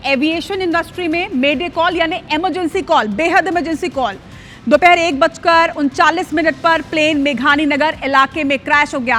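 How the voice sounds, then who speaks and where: A woman presents breaking television news in a high, forceful voice. Her delivery is urgent and emphatic, hammering key points as a live anchor does. Soft music runs faintly behind her.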